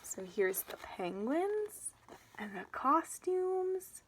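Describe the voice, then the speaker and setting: A woman's voice: high voice, sing-song, extremely slow, a little hiss or hum, poor audio. A young woman reading a children's picture book aloud, with chatty asides to her listeners. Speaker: storybook reader.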